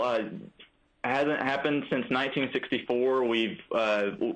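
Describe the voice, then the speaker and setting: An American man answering as an agency spokesman in a live phone news interview, measured and halting, with repeated false starts. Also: mid-range voice, fairly bright tone, rough recording.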